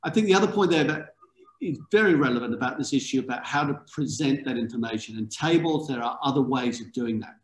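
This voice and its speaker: A man, chairing an academic panel on a video call, talking in a relaxed, conversational way with asides. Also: medium pitch, fast talker. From England. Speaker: panel chair, journal editor. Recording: roomy video call audio.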